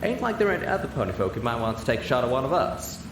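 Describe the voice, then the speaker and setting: Man as a raised-pitch Southern farm mare. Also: very animated, quick pace, echoey room, far from the mic, noisy background. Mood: insistent. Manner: folksy, insistent. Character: frank, folksy female farm pony.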